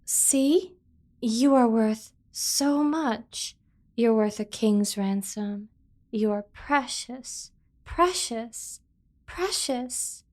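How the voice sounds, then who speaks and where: Casting: a woman to play a playful yandere kidnapper for ASMR roleplay, higher-voiced, unhurried, doting and teasing. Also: darkish tone. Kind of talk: scripted ASMR roleplay acting.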